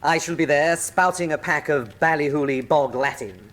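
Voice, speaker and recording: An actor playing an urbane, sardonic villainous colonel in a radio drama, suave, theatrical and mocking in manner. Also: male voice, a little high, normal speed, a bit bright, natural voice. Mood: sardonic, courteous.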